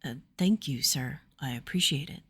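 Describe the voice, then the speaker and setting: A woman narrator voicing a tired, kindly young male warrior in a fantasy story, quiet and unhurried. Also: extremely low pitch, breathy edge, full character voice. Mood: weary, polite.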